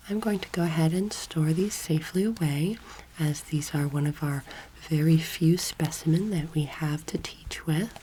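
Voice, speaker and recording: A young woman playing a patient, earnest alien anatomy teacher in a soft-spoken ASMR roleplay, breathy and often whispering, measured and instructive. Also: sits low, poor audio. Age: young adult. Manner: measured, instructive, gently authoritative. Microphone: close microphone. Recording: clear background noise, layered clicking sounds.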